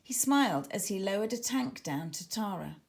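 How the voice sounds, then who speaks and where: A woman narrates a children's storybook. Her medium-pitched voice is expressive and dramatic, shaped for young listeners. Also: moderate pace, creaky. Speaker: author reading her own children's book.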